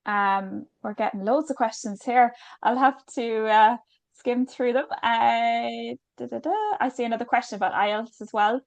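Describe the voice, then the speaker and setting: A woman's voice: a little high, fairly even intonation, measured pace, vocal fry, dry recording. Brief Irish woman, webinar host, chatty and reassuring, dry close sound. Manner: chatty, filler-heavy, reassuring. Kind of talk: webinar Q&A, reading out questions.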